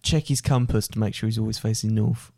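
A man taking a quiz on a casual podcast, thinking aloud with hesitant pauses. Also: lowish voice, steady tone, creaky, mellow, no echo, studio quality. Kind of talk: casual chat.

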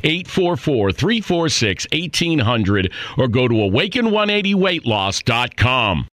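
A man's voice: mid-range voice, very animated, a little slow, fairly bright tone, dry recording. An American man giving a conversational advertising testimonial. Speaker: advertising endorser.

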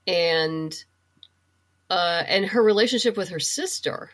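A woman's voice: lowish voice, slow pace, fairly bright tone. A woman being interviewed as a podcast guest, explaining things thoughtfully in a casual, conversational way.

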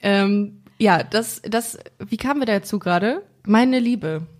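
A woman's voice: mid-range voice, slow. Female podcast host in her thirties. Chatty, informal, jokes at her own expense, mid-range voice. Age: 30s. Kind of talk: casual interview chat.

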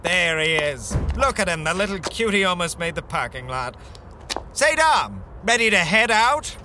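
A man's voice: sits high, very animated, normal speed, noisy background. An actor playing an arch, theatrical male reporter, swooning and catty, in a 1930s radio serial. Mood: teasing, dismissive. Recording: music behind the voice.

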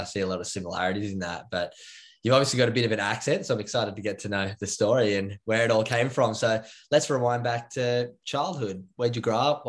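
A man hosting a podcast, asking his guest questions in an encouraging, friendly way. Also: fairly low pitch. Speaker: podcast host. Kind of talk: casual interview questions.

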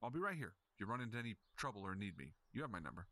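An actor playing a calm, dryly sarcastic male police officer in an audio drama. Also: fairly low pitch, very fast.

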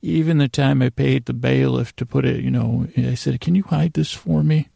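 A man hosting a podcast in a rambling, lighthearted bedtime monologue, halting and drifting into absurd tangents, with a gravelly, creaky voice. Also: medium pitch, steady tone, mellow, dry recording, studio quality. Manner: meandering, halting, with trailing tangents. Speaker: podcast host. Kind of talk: rambling bedtime monologue, meant to lull listeners to sleep.

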